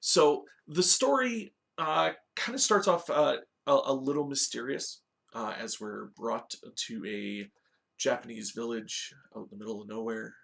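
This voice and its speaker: Gravelly-voiced man hosting a casual review. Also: medium pitch, a little slow, a bit bright. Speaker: host of a video game movie review show. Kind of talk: casual review talk with story recap.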